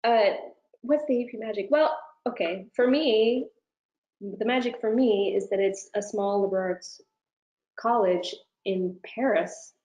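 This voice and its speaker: A woman with a soft-edged, mellow voice answers as a professor being interviewed. She is informal and thoughtful, reshaping sentences as she talks. The room adds a noticeable echo around her. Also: medium pitch, measured pace, dull audio. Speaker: university professor, interview guest. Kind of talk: conversational webinar answers. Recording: roomy, echoing room sound.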